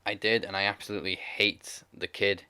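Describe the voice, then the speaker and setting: A man co-hosting a casual podcast, chatty and quick to laugh, retelling film scenes with put-on voices. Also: fairly low pitch, flat delivery, pushed and bright, clear, resonant tone, dry recording. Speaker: podcast co-host.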